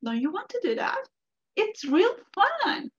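A woman's voice: very high voice, sing-song, a little slow, highs cut off, a little hiss or hum, voice pushed up, heavily acted. A woman voicing a clever, tricky male rabbit who coaxes and persuades. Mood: coaxing. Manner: coaxing and persuasive.

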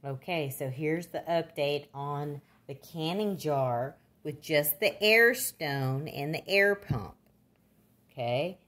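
A woman's voice: low-pitched, fairly bright tone. An older woman giving a casual, plain-spoken how-to demonstration, recorded indoors in a kitchen. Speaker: gardening demonstrator.

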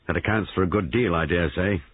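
Man as a grave, measured army major. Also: deep voice, slightly rough, no echo, narrow, tinny audio. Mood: dry. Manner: measured, pointed, formal.

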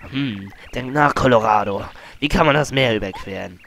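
Ein Mann spielt einen aufgeblasenen Abenteurer. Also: male voice, medium pitch, normal speed, fairly bright tone, slight background noise, natural voice. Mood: pompous. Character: pompous male explorer archaeologist, boastful. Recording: faint game music behind.

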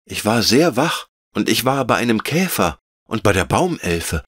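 A man's voice: lowish voice, very animated, normal speed, fairly bright tone, dry recording, studio quality, pitched above the performer's own voice. A man voicing a curious, sensitive little girl who speaks earnestly. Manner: earnest, pleading, childlike.

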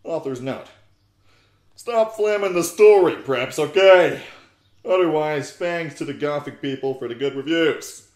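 A man in his twenties giving a theatrical, mock-serious reading of fan fiction, deliberate in his delivery. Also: fairly high pitch, darkish tone, roomy sound. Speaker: narrator, YouTube host. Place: small living room, indoors. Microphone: desktop USB microphone close by.

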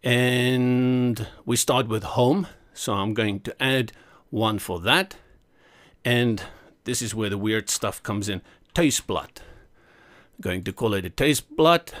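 A man's voice: fairly low pitch, takes it slowly, a bit bright. A man with a South African accent whose first language is Afrikaans, walking through a screen-recorded web design tutorial in a chatty way, correcting himself as he clicks through each step.

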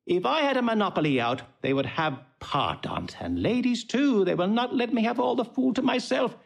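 Husky, lively, mocking court jester. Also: male voice, high voice, moderate pace, heavily acted. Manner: mocking, sing-song, playful. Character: impudent, riddling male court jester. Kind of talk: Shakespearean audio drama acting.

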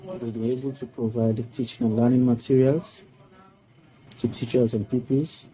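A middle-aged man from Sierra Leone giving a formal testimonial about a project he completed, speaking in a slow, measured way on a video call. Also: medium pitch, level tone, mellow, breathy edge, rough recording. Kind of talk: prepared testimonial speech. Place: indoor room. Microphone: webcam on a video call.

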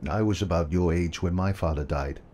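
An actor playing a kindly, gentle photographer who speaks softly and thoughtfully. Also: male voice, sits low, fairly quick, a little hiss or hum, lightly acted. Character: kindly adult male photographer, gentle and reflective. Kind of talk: audio drama acting.

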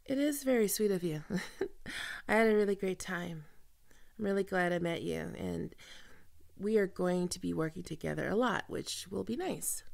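A woman playing a flustered, flirty team leader in an ASMR roleplay, speaking haltingly and intimately to the listener with heavy vocal fry. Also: mid-range voice, a little mellow. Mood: tender. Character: flustered, flirty female team leader falling for a new coworker. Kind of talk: ASMR roleplay audio drama, one-sided conversation.

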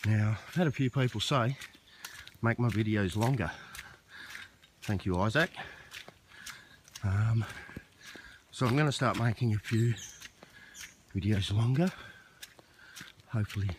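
A man's voice: fairly low pitch, unhurried, long pauses, noisy background. A man chatting casually to camera while walking a rainforest track, a little out of breath. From Australia. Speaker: vlogger. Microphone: handheld camera.

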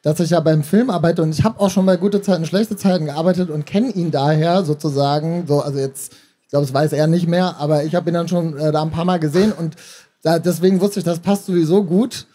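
A man's voice: on the high side, steady tone, a little fast, mellow, slightly rough. A man in his thirties, an author chatting casually as a guest in a live stage interview. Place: stage at a book fair. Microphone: handheld microphone.

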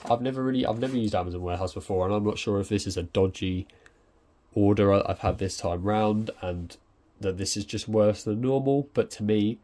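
Low-voiced man unboxing gadgets. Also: brisk, mellow. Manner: hesitant, lots of ums and restarts. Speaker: tech reviewer. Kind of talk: casual unboxing commentary. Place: indoors at a desk.

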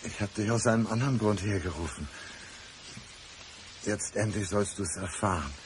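A man's voice: fairly low pitch, moderate pace, noisy background, lightly acted. An actor playing a brooding, deceitful, despairing man in a radio crime drama, speaking with intense deliberation. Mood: menacing, resigned. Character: brooding, deceitful man feigning blindness, despairing, country-dwelling.

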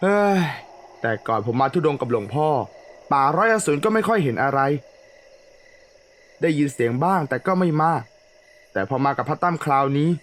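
Man acting chatty, slightly high-voiced monk. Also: sing-song, normal speed, long pauses, a bit bright. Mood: chatty, amazed. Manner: advising, colloquial, chatty. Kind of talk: animated ghost story character acting.

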